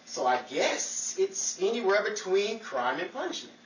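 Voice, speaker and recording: A man's voice: high voice, very animated, unhurried. A man playing a hapless, wisecracking amateur sleuth in a 1940s-style radio comedy, flustered, stammering and pleading. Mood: breathless, urgent. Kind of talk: 1940s old-time radio comedy acting. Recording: echoey, distant, noisy live room with crowd.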